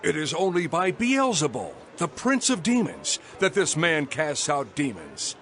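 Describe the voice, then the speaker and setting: Scornful, wildly animated Pharisee. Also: male voice, fairly high pitch, unhurried, slight background noise, heavily acted. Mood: scornful accusation. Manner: scornful, declaiming. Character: accusing, scornful male Pharisee.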